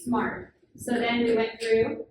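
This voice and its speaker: A woman's voice: a little high, monotone, takes it slowly, crowd noise. A woman teaching a children's Bible class, asking the kids questions patiently with frequent "um" fillers. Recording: very echoey room, far from the mic, noisy.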